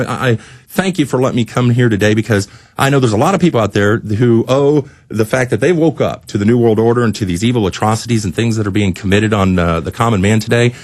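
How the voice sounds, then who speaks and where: A man's voice: medium pitch, no echo. A man speaking as a radio studio guest in an impassioned, preachy rant, with emphatic lists and repeated phrases. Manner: preachy, emphatic, rhetorical listing and repetition.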